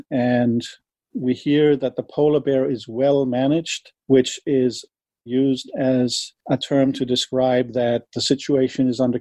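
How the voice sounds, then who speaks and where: Danish man arguing in a mellow voice. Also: mid-range voice, no room sound. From Denmark. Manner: measured, argues step by step with numbers. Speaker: interviewee, wildlife advocate. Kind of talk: earnest explanatory interview talk.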